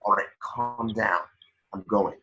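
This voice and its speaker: Male reader as calm police detective, rough bass voice, muffled recording. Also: takes it slowly, heavily acted. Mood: urgent, controlled. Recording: dull lo-fi audio.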